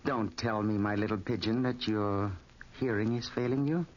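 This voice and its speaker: A man's voice: fairly low pitch, a little mellow, lightly acted. Radio drama villain, male actor: fat gambling boss, oily courtesy over menace, muffled noisy old recording. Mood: oily politeness. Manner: mock-polite, menacing, deliberate. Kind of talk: 1940s radio acting.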